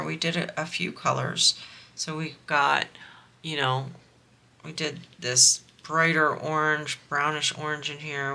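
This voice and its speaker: Crafting vlogger, woman, nasal and bright, chatty and meandering. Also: deep voice, gravelly. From US East Coast. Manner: rambling, chatty, slow. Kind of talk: casual chat while diamond painting.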